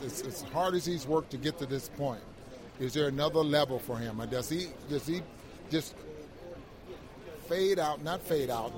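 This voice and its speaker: A man co-hosting a sports show, giving casual basketball analysis in a halting way, repeating words as he builds each point. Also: on the high side. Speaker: basketball broadcast co-host, analyst. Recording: crowd noise behind the voice.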